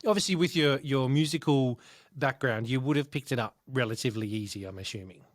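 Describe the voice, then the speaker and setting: Man, podcast host, mid pitch, dry recording, eager and rambling. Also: a little fast, dry recording. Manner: enthusiastic, rambling with restarts. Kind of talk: podcast interview.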